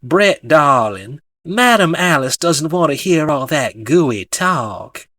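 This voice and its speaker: A man's voice: fairly high pitch, very animated, slow, a bit bright, no echo, heavily acted. A man as a sassy Southern belle. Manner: sassy, purring.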